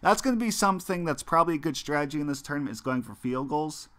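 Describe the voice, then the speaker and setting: A man's voice: a little high, expressive, quick pace, fairly bright tone. A young American man streaming a mobile football game, chatting with viewers in a rambling way with lots of fillers and restarts. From United States. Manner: chatty, talks to viewers while playing. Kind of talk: casual gameplay stream chat.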